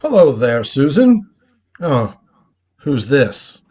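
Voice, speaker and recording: A man plays an eccentric old grandfather in a radio drama. His husky voice leaps widely in pitch. He is curt, imperious and dismissive, a crotchety elder with no patience. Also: very animated, takes it slowly, a little mellow, heavily acted. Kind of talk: live script reading of a radio drama.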